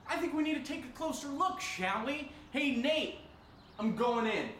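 A high, sharp-voiced young man, played by an actor, sarcastic and fed up, rants with wild swings of pitch. He swears freely and puts on mock cheer, as in an audio drama, in an echoey room. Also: animated, normal speed, a little hiss or hum. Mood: manic, sarcastic. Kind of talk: audio drama acting as a livestream rant.

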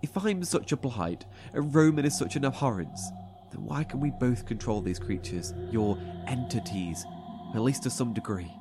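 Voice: medium pitch, animated, moderate pace, over music, natural voice. An actor playing a defiant, sardonic survivor in a horror audio drama, with a sceptical, bitter, mocking way of talking. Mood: challenging. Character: defiant, sardonic survivor challenging a cult leader.

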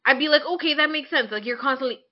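A woman's voice: high-pitched, muffled recording. A woman in her twenties co-hosting a podcast, chatting casually and candidly with a friend and swapping anecdotes. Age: 20s. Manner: candid, informal, swearing, quick to laugh. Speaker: podcast co-host.

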